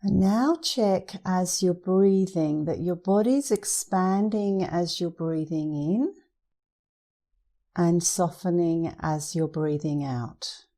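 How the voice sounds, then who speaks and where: A woman meditation instructor leading a guided meditation in a soothing, gentle, unhurried manner. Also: fairly low pitch.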